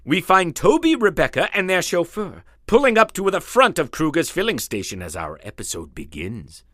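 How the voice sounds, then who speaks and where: A man's voice: fairly high pitch, huge pitch swings, normal speed, a bit bright. A man narrating a scripted old-time radio serial in a theatrical announcer style.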